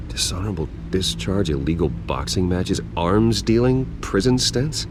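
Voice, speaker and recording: An actor playing a calm, guarded wealthy hero in his thirties in a video game, understated and deadpan, with an American accent. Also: low-pitched, normal speed. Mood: calm, wry. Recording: vehicle noise behind voice.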